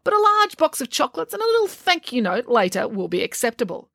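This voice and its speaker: A woman's voice: high-pitched, big pitch swings, fast talker, gravelly, fairly bright tone, dry recording, studio quality, voice pushed up, exaggerated voice. A woman voicing a fierce, bossy young girl in a children's story, short-tempered and sarcastic. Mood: smug, bossy. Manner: snapping, sarcastic.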